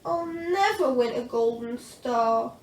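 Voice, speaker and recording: A woman voicing an eager, earnest young male dragon from a storybook, speaking in a drawn-out, excitable way. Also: on the high side. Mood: dejected. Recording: roomy, distant mic, faint noise.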